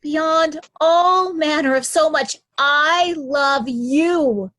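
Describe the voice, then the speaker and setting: Casting: actress as effusive royal daughter, high voice, extremely slow declamation, classical stage verse. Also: female voice, fairly bright tone, no room sound, studio quality, exaggerated voice. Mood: effusive, flattering. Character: flattering eldest princess professing grand love. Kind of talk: Shakespearean reader's theatre.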